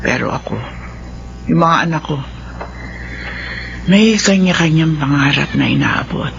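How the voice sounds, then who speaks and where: A woman's voice: low voice, measured pace, gravelly, slight background noise. An actress playing a lonely, forgetful, tender mother of sixty in a Filipino radio drama, sighing and drawing out her words. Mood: wistful, melancholy. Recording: dull lo-fi sound under loud music.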